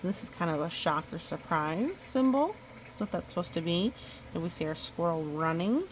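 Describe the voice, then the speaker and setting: A woman reading a picture book aloud in a chatty, expressive way, with casual asides. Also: on the low side, normal speed, noisy background. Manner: chatty, expressive storytelling with playful sound effects. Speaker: storybook reader.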